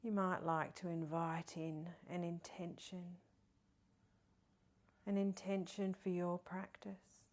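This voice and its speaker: A woman leading a guided meditation in a soft, breathy, partly whispery voice, soothing and gentle with long pauses. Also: on the low side, level tone. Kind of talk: guided body scan meditation.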